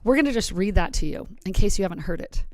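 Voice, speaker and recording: A woman from Arizona co-hosting a podcast, chatting in a relaxed and reflective way. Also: mid-range voice, fast. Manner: relaxed and reflective, reads a quote aloud. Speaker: podcast co-host. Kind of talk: casual podcast chat.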